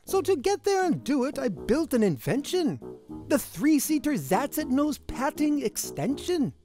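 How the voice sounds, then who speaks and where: A woman reading a rhyming picture book aloud to children in an expressive, sing-song storytelling manner. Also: sits high, measured pace, over music.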